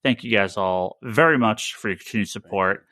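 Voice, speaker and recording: A man hosting a podcast, chatting informally and rambling through shout-outs and plugs. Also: fairly low pitch, normal speed, no echo, studio quality. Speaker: podcast host.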